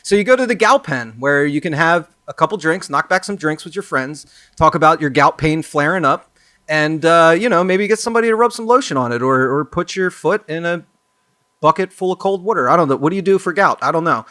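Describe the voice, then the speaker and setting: A man's voice: a little high, fairly quick, sharp tone, no room sound, clean studio sound. A young man co-hosting a comedy podcast, rambling and playful as he riffs on a made-up bit. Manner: rambling, laughing often, playful. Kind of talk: improvised comedy riffing.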